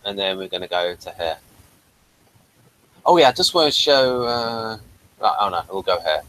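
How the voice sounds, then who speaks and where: A man hosting a casual online review chat, speaking in a hesitant, halting way. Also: fairly low pitch, lively intonation, sharp tone, low-quality recording. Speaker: host of a review channel.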